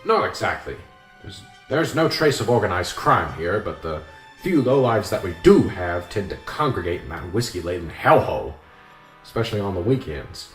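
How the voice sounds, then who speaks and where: An actor playing an older small-town police sergeant in a radio drama, plain-spoken, weary and defensive. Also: male voice, deep voice, sing-song, moderate pace. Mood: weary, explaining. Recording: roomy echo with music behind. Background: music.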